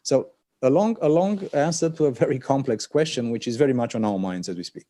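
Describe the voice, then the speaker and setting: A man, an alliance official, giving a measured, thoughtful answer with frequent pauses over a video call. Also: mid-range voice, expressive, warm tone. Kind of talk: panel conversation answer. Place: remote location for an online panel.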